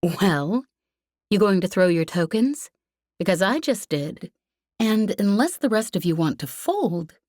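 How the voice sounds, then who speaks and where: A woman's voice: mid-range voice, very animated, a little slow, a little mellow, dry recording, clean studio sound, voice pushed up. Woman acting a brash, cocky female space pilot. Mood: brash challenge. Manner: cheery, swaggering drawl. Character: brash, cocky young woman smuggler pilot, gleefully reckless.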